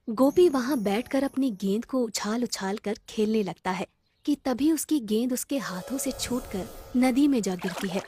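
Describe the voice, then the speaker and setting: A woman's voice: a little high, warm tone. A woman narrating a scripted children's story.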